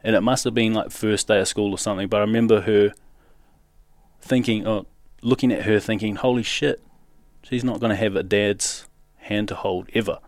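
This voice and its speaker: A man's voice: lowish voice, steady tone, moderate pace, no room sound, studio quality. A young man from New Zealand with a Kiwi accent, candidly telling his life story as a podcast guest in a casual, slangy way with nervous laughs.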